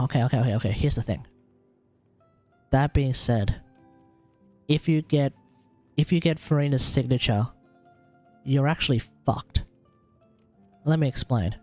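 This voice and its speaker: A man's voice: mid-range voice, darkish tone. A man with an Australian accent chatting casually as a game livestream host, informal and sweary as he thinks aloud. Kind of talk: casual gaming livestream chat, advising on character builds.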